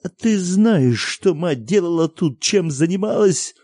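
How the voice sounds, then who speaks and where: A man doing a bitter, shouting soldier father. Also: lowish voice, sing-song, slow pace, vocal fry, husky, no echo, clean studio sound, full character voice. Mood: bitter, mocking. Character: bitter returning soldier father, harsh and jealous.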